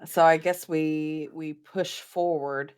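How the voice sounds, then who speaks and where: A woman with a slightly low voice plays a tabletop role-playing game. She speaks slowly and tentatively, pausing and often framing what she says as a question. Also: steady tone, darkish tone, clean tone.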